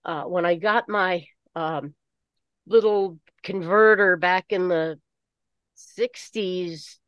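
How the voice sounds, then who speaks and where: An older woman chatting casually on a remote call, halting and repeating her words as she goes. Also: fairly low pitch, clean tone, no room sound. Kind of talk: casual group chat. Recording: dull, band-limited call audio.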